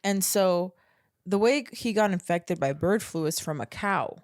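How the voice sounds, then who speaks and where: Young woman on a podcast, low voice, says "like" a lot, dry close mic. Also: lively intonation, unhurried, darkish tone, dry recording. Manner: casual, heavy use of "like". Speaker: podcast co-host. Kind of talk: casual chat.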